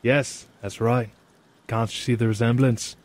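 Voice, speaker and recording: A man playing a weary, polite but easily exasperated traveler in a fantasy audio drama, with a theatrical, halting manner. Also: mid-range voice, steady tone, a little mellow, a little hiss or hum, lightly acted. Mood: polite, hesitant.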